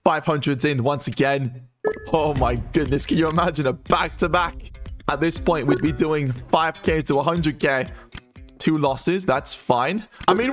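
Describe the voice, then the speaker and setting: A hoarse man commentating his online gambling in a casual, excitable way, calling his viewers guys and bro. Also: fairly high pitch. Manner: excitable, shouts and laughs at big wins. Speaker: gambling streamer.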